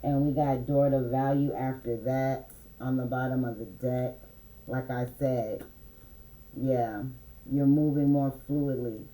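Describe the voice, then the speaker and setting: A man giving a relaxed, meandering spiritual card reading, reading affirmations aloud slowly, with many fillers. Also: medium pitch, echoey room, low-quality recording. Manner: slow, reads affirmations aloud. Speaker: spiritual reader.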